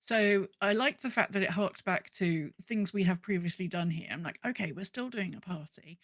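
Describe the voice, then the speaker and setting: A woman's voice: medium pitch, normal speed, dry recording. A woman co-hosting a podcast, chatting casually about an online game. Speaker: podcast co-host.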